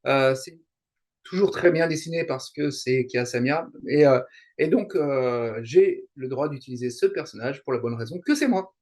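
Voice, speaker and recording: A middle-aged man from France chatting as an interview guest, explaining things in a halting way with frequent digressions. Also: mid-range voice, a little mellow. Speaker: guest, YouTuber and translator. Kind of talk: casual interview chat, explaining.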